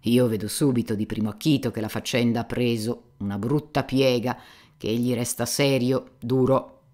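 A garrulous aging buffoon, as an audiobook reader puts him on, declaiming loudly with self-mocking pathos. He rambles from one anecdote to the next, his voice pushed a little higher than the narration and full of showy bluster. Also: fairly even intonation, moderate pace, lightly acted. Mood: theatrical. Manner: loud, theatrical, rambling storytelling. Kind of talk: audiobook character reading.

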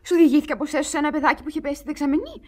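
An actress in a radio play, playing an excitable, infatuated young society girl who chatters enthusiastically. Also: sits high, slight background noise, close to an everyday voice. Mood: dreamy, excited.

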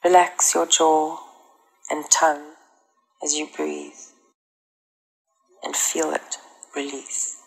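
Woman meditation guide, very low pitch, gravelly creak, calm and soothing, unhurried instruction. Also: rough recording.

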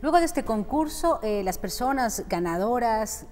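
A woman's voice: a little high, sing-song, normal speed, a little hiss or hum. Young woman, TV host, interview, short affirmations, reverberant studio. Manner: warm affirmations, many "ujú". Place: TV studio set.

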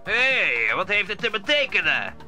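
An actor playing a blustering, easily offended musketeer in an audio drama. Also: male voice, sits high, sing-song, measured pace, bright, forceful tone, soft background music, exaggerated voice. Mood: indignant.

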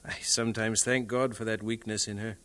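Man acting stammering, lovestruck young English missionary, bright voice. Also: lowish voice, little pitch movement, natural voice. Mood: smitten, earnest. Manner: halting, stammering, fervent. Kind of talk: dramatised radio-play acting.

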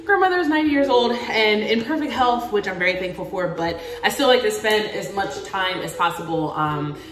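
A woman's voice: medium pitch, brisk, roomy sound. A woman in her twenties chatting animatedly to the camera in a casual day-in-the-life vlog, filmed in her home kitchen on a camera a few feet away. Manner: chatty and animated, talks to camera with hand gestures. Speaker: vlogger. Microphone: camera on a stand, a few feet away.

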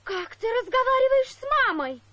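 A woman's voice: very high voice, very animated, normal speed, bright, forceful tone, exaggerated voice. A woman voicing a fussing, scolding mother donkey in a children's cartoon, calling out instructions bossily. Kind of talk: animated children's cartoon acting.

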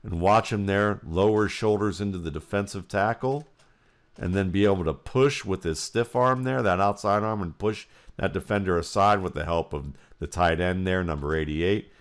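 A man's voice: low-pitched, moderate pace. An American man giving football film breakdown commentary, explaining each detail as he thinks aloud.

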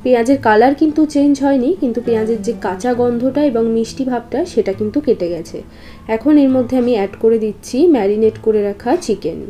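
A woman presents a recipe voice-over. Her voice sits a little high yet sounds mellow and relaxed. She explains each step in an instructional way, with faint guitar music playing behind her. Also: fast. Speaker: cooking show host. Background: guitar background music.

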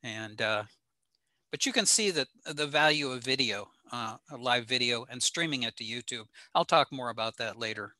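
Older man, American accent, sharp forceful bright voice, dry close sound, informal technical presenter, halting explanations. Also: mid-range voice, animated, no echo. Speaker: presenter, ham radio operator. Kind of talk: informal slide lecture. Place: small home room indoors.

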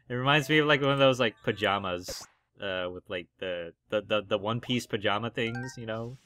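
A hoarse young man giving goofy, excitable commentary over his gameplay, full of ums and likes. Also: lowish voice, normal speed. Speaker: let's play gamer. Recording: game music behind voice.

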